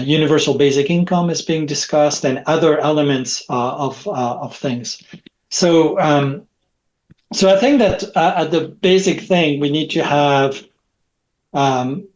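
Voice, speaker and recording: A middle-aged man with French as his first language talks as a podcast guest over a video call. His voice sits fairly high for a man, and he rambles, circling back with repeated words and a habitual "right?" as he builds a point. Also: a little mellow, dull audio. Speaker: guest, technology expert. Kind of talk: conversational podcast interview.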